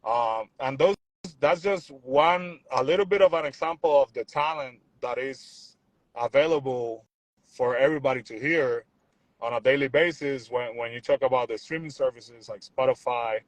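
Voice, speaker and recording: A young man from Puerto Rico, talking in a relaxed, rambling way as a musician guest on a video call. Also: mid-range voice, normal speed, no room sound, rough recording. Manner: relaxed, rambling, repeats words, says "you know what I'm saying". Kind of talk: casual interview chat.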